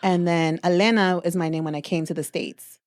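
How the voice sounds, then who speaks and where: A woman's voice: on the low side, steady tone, a little fast, a loud crowd. A woman in her thirties, originally from Peru, telling her life story as a podcast guest in an easygoing, earnest way with frequent laughter. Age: 30s. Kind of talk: personal storytelling in conversation. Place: podcast studio, seated beside the host.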